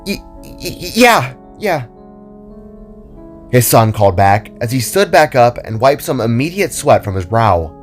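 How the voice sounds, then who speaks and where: A man narrating a scripted fantasy story expressively. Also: lowish voice.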